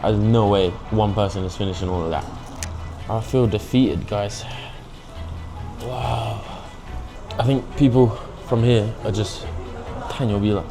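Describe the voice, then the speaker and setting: A man's voice: on the low side, steady tone, measured pace, creaky, long pauses, music in the background, rough recording. A young man in his twenties with an English accent, chatting casually as he eats in a restaurant and mixing in some Mandarin. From grew up in China, lives in England. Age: 20s. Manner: chatty and self-mocking, switching between English and Mandarin. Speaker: food vlogger. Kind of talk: casual food vlog narration. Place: restaurant table by a window at night, indoors. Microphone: camera on the table facing him.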